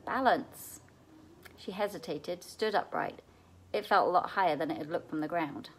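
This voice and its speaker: A woman reading a children's novel aloud in an expressive storytelling way, as at a library read-aloud. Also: on the low side, brisk, slightly rough.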